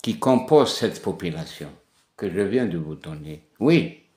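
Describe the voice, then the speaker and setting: A man's voice: low-pitched, expressive, a little slow, dark tone. A man from Kabylie, delivering an impassioned political monologue and repeating words for stress. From Kabylie, Algeria. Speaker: political commentator. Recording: echoey room.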